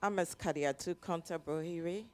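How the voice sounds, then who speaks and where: A woman's voice: fairly low pitch, moderate pace, a little mellow. Earnest woman asking a question. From Liberia. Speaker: audience member asking a question. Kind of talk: audience question at a forum. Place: conference room.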